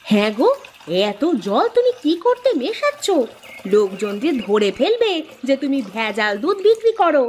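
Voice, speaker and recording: A woman's voice: sits high, sing-song, moderate pace, a little hiss or hum. A woman playing a caring, worrying village wife in a cartoon. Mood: worried. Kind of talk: scripted cartoon dialogue.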